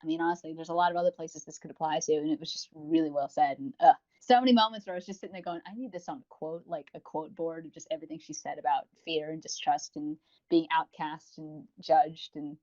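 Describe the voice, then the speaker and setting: A man's voice: on the high side, steady tone, fast, hardly any pauses, a little mellow, dull audio. A man co-hosting a podcast in casual chat, rambling and gushing about what he reviews, with self-mockery and casual swearing. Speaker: podcast co-host. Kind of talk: casual chat, reviewing audio roleplay series.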